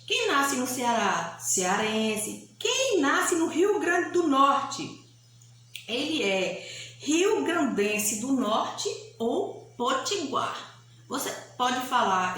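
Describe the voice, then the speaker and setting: A woman's voice: sits high, very animated, very slow, fairly bright tone. A woman teacher with a Bahian Brazilian accent giving a grammar lesson, explaining didactically, repeating key points and often checking with short tag questions. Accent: Bahian Brazilian Portuguese. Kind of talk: recorded grammar lesson for pupils. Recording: echoey room, faint background hiss.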